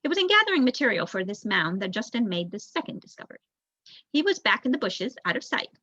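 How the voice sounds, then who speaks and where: A woman's voice: mid-range voice, very animated, fast, bright, forceful tone, husky, dry recording, lo-fi sound. A young woman reads a storybook aloud as narration, expressively, with an occasional stumble. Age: young adult. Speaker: volunteer audiobook reader.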